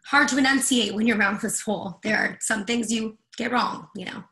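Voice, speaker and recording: A stressed woman professor, played by an actress, speaks in a fairly high, bright voice with a lot of creak. She is demanding and impatient, with emphatic delivery in a play reading. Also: moderate pace. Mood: hurried, irritated. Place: indoors at home, on a video call.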